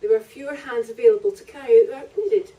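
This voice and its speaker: A woman's voice: on the high side, animated, fast talker, mellow. Woman reading aloud, muffled and distant. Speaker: author reading from own book. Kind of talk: literary reading aloud of narrative prose. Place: live festival reading in a library venue. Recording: muffled, distant microphone at live event.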